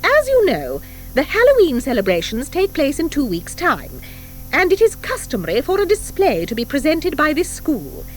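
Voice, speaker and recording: A woman voicing a stern, formidable schoolmistress in an audiobook, speaking crisply, precisely and with command. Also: high voice, huge pitch swings, moderate pace, soft background music, voice pushed up, low-quality recording. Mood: formal, measured. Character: stern, formidable, brisk schoolmistress at a witches' academy. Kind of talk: audiobook character acting. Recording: 1984 audiobook from cassette.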